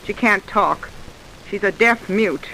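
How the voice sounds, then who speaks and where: A woman playing a bitter, defensive working-class wife and mother in an old-time radio melodrama, sharp and indignant. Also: medium pitch, slow, poor audio. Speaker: radio drama actor. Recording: faint hiss, old radio recording.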